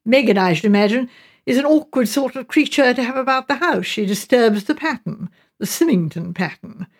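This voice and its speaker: A spirited young English sister from an audiobook novel, as a woman reader plays her. She is outspoken, chatty and mischievous, speaking with emphasis. The voice is fairly high and noticeably creaky. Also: animated, normal speed. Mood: indignant, pitying.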